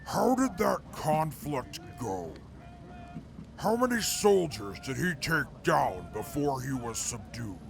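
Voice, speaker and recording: An actor playing a reformed male mutant soldier in an audio drama, speaking in a formal, measured way. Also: fairly high pitch, big pitch swings, creaky, over music, exaggerated voice. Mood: grave. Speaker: former enemy scout now with the crew.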